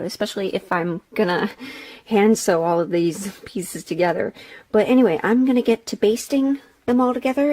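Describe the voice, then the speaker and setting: A woman chatting casually in a sewing vlog, thinking aloud in a candid, rambling way. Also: medium pitch. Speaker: sewing vlogger.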